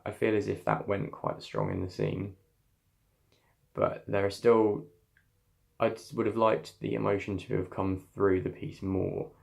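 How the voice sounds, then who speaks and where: Young guy talking through his acting voice work, low gravelly voice, kinda hesitant, lots of restarts. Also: expressive, a little slow, darkish tone. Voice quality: slightly deep voice. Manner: thoughtful, hesitant, many restarts and fillers. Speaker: acting student. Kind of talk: reflective vlog on voice work.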